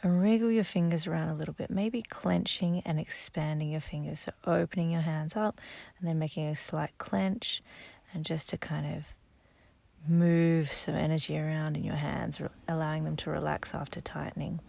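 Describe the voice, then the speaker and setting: A woman guiding a sleep meditation, speaking softly and gently, slow and instructive, sometimes half-whispered. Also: low-pitched, steady tone, gravelly, no echo. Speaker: meditation guide.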